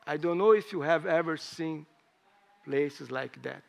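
A hoarse man preaching a sermon in English, speaking in halting phrases with rising emphasis. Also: on the high side. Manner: slow, halting delivery with pauses and rising emphasis; non-native English with grammar slips.

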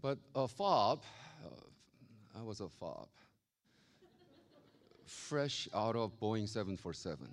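A man from Korea with a Korean accent, preaching a sermon as a pastor and telling stories with lively acted-out voices. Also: fairly low pitch, takes it slowly, pauses often. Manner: animated, acts out quoted voices. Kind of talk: storytelling sermon.

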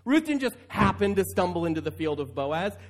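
A man's voice: high-pitched, big pitch swings, a little fast, creaky. A male pastor preaching in a conversational style, stretching key phrases for emphasis. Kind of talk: conversational sermon.

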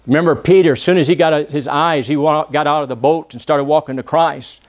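A man from Texas with a Texan accent, preaching a church sermon and praying, often pausing with "uh" and asking the congregation for an "amen". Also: fairly high pitch, steady tone. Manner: peppers phrases with "amen?" asking the congregation to answer; halting, with many "uh" pauses and restarts. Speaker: visiting preacher.

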